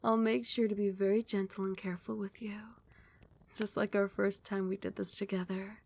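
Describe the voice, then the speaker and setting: ASMR casting: a young woman as a tender, possessive vampire queen, soft soothing whisper, close mic. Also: mid-range voice, level tone, normal speed, creaky. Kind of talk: ASMR roleplay.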